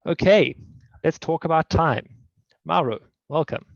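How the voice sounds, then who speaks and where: A man's voice: fairly high pitch, a little slow, vocal fry, no echo. Guy introducing a webinar, reads the notes, dry muffled sound. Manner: announcer-like, reading housekeeping notes. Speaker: webinar host.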